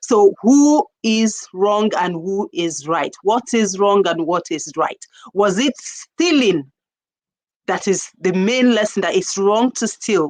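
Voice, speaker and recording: A woman with a Nigerian accent hosting a folktale talk, speaking reflectively and deliberately, repeating questions and phrases for emphasis. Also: medium pitch, a little mellow. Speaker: storyteller host.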